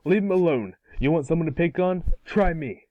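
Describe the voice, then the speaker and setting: A man's voice: on the high side, animated, fairly quick, no room sound, lo-fi sound, natural voice. A man playing an eager, brash boy hero in an amateur cartoon table read. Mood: defiant.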